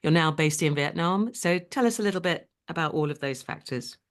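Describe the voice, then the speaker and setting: Low-voiced chatty woman podcast host. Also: a little fast. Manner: chatty, animated questioning. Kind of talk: podcast interview.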